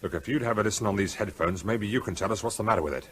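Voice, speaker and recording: An actor playing a practical, authoritative police officer in charge, in a naturalistic radio drama. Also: male voice, lowish voice, racing, a bit bright, slight background noise, close to an everyday voice. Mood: matter-of-fact, wry. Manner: authoritative, matter-of-fact. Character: firm, practical male police officer commanding a siege.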